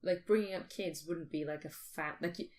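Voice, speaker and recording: A woman co-hosting a podcast, musing out loud in casual, teasing back-and-forth chat. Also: sits low, normal speed. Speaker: podcast co-host.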